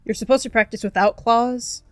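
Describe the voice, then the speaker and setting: A woman voicing a wary, gruff adult male warrior cat who challenges and advises in a roleplay game. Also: fairly high pitch, fairly even intonation, moderate pace, a bit bright, no echo, soft background music, voice pushed up, natural voice. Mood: wary, correcting.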